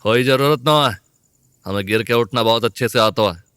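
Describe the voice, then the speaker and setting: A man's voice: mid-range voice, moderate pace, fairly bright tone, clear, resonant tone, no room sound, studio quality, natural voice. An actor playing a fearless, proud man in an audio drama, with a provoking, swaggering manner. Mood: proud, teasing. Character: defiant, fearless young male crime-drama lover, taunting and proud.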